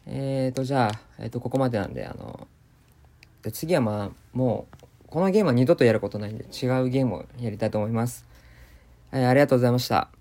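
A man rattles through an improvised game playthrough at a very quick pace. His voice is soft-edged and mid-pitched, with a deadpan way of remarking on what he sees. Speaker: gamer doing commentary. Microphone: voice memo recording.

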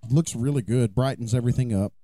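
A slightly hoarse man co-hosts a podcast, telling work stories and dropping groan-worthy puns. His tone is mellow and even. The mic sound is dry and close. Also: mid-range voice, fast talker, no room sound. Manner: jokey storytelling, dad-joke puns. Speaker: podcast co-host. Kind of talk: casual chat.

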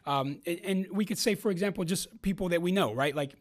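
A man co-hosting a podcast, talking in an earnest, reflective way with frequent pauses and restarts. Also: high voice. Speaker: podcast co-host. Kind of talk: casual podcast conversation.

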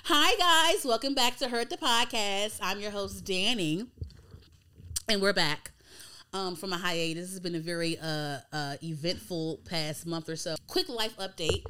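Smooth-voiced American woman, slangy and casual. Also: mid-range voice, measured pace, pushed and bright. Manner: informal, slangy, swears freely. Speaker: podcast host. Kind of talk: casual podcast chat.

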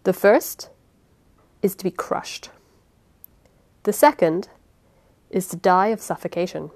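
A woman voicing a calm, cold male sea captain who speaks in a measured, lecturing way. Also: on the low side, gravelly.

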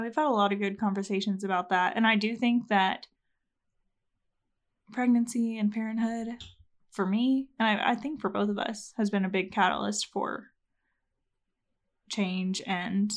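Young woman host, mid-pitch, earnest and giggly, just chatting. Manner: warm, earnest, laughs often. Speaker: podcast host. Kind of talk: casual chat.

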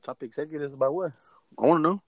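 A man co-hosting a podcast, with a fairly high voice and a very fast delivery. He throws quick, teasing comebacks at his co-host. His voice sounds close and dry, with little room sound. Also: a little mellow, no room sound. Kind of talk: casual podcast banter.

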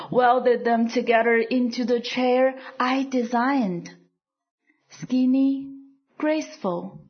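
A woman reading her own poem aloud in a soft, measured way, pausing between lines. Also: on the high side, breathy edge, dull audio. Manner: soft, deliberate phrasing with pauses between lines. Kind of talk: slow, measured poetry reading.

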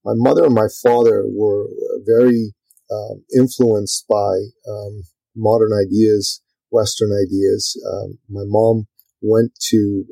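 A man's voice: lowish voice, gravelly, warm tone, no room sound, rough recording. A middle-aged man from Iran, talking casually in an interview, full of fillers and restarts. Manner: talkative, many fillers and restarts. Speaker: podcast guest and author.

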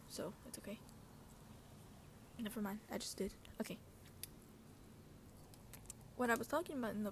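High-voiced young woman rambling over outdoor noise. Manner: scattered, slangy, self-interrupting. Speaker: podcast host. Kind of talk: casual rambling personal podcast chat. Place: outdoors with wind and traffic. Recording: windy outdoor noise, passing cars. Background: wind, cars, ambient noise.

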